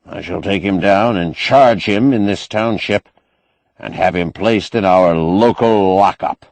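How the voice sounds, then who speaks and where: A man's voice: sits low, a little slow, a little mellow, no echo, low-quality recording. A man voicing a wily, indignant, eloquent doctor with pompous, ornate oratory in an audiobook. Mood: indignant, commanding. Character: wily, indignant, eloquent male doctor, master of his house. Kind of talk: audiobook character acting.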